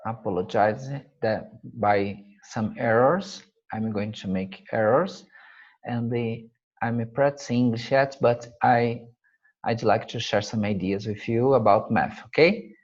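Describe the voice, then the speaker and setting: A man from Brazil with a Brazilian Portuguese accent, a native Portuguese speaker teaching math over slides, halting and searching for his English words with frequent fillers. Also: medium pitch, lo-fi sound. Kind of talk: slide-based math lesson.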